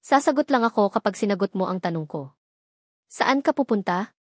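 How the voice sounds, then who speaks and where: A woman voicing a cold, controlling young husband who speaks calmly and with quiet menace in a storybook. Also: medium pitch, no room sound, studio quality, natural voice. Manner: calm, measured, quietly menacing.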